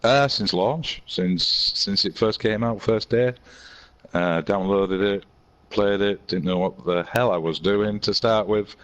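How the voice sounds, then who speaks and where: A hoarse man from England with a Yorkshire accent, chatting casually as an interview guest and laughing easily. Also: on the low side, slow, a bit bright. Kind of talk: casual chat.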